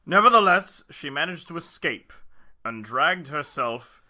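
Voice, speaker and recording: A man's voice: fairly high pitch, expressive, unhurried, bright, forceful tone. A man co-hosting and reading aloud on a casual comedy podcast, with a wry, joking manner. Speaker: podcast co-host and story reader. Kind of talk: casual comic chat and story reading. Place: garage.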